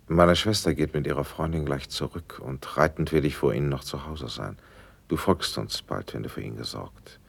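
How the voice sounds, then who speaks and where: An actor playing a gracious, measured prince in a classic German verse radio drama, speaking in formal, deliberate declamation. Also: male voice, rumbling low, gravelly, husky, no echo, heavily acted. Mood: composed, instructing.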